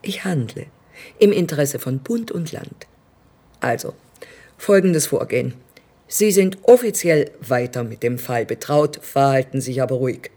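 Condescending woman politician, slightly husky. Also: extremely low pitch, animated, a little slow, dark tone, exaggerated voice. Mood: cold pressure. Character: smooth-talking, menacing female state premier, condescending and controlling. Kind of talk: radio play acting.

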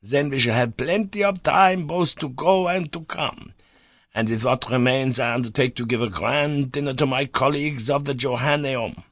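Man doing a giddy old professor, raised high voice, dry close sound, excitable exclamations. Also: normal speed, dry recording. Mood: eager. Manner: laughs, exclaims with high glee. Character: eccentric elderly male professor, excitable, gleeful.

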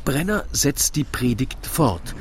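A man's voice: medium pitch, sing-song, takes it slowly. Guy telling a rhyming radio story, theatrical, takes his time, lots of background noise. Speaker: narrator. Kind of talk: scripted rhyming verse narration.

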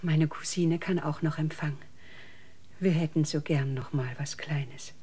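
A breathy, low-voiced lonely older woman. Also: fairly even intonation, a little slow, mellow. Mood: tender, wistful. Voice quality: breathy, half-whispered. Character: lonely older woman, gentle, frankly yearning hostess. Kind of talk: intimate German radio play acting.

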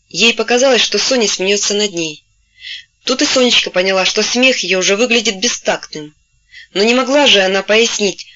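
Bright-voiced woman reading a novel aloud. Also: medium pitch, dull audio. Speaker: audiobook narrator. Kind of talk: scripted literary narration.

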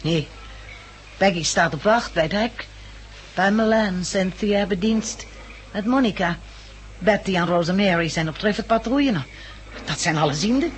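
A woman's voice: medium pitch, animated, normal speed, pauses often, lightly acted. An actress in a 1960s radio drama playing a stern, principled woman in charge of a survivors' community, curt and prim. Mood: curt, defensive. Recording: noisy old 1960s radio recording.

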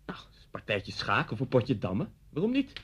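A man's voice: mid-range voice, huge pitch swings, normal speed, husky. An actor playing a chatty, coolly menacing policeman in a radio thriller, polite and needling. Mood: chatty, casual. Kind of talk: 1970s Dutch radio play acting. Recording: dull 1970s radio recording.

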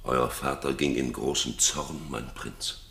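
An actor playing a grave, measured courtier in a classical radio play, in a roomy, echoing sound. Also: male voice, rumbling low, steady tone, creaky, bright, forceful tone, exaggerated voice. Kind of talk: German radio play, classical stage verse.

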